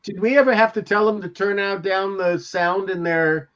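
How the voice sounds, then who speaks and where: A man's voice: high-pitched, fairly even intonation, moderate pace, a bit bright. An older man in his seventies reminiscing casually on a video call through a headset. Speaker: show regular, former TV producer. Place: home room, video call. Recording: dull lo-fi video-call audio.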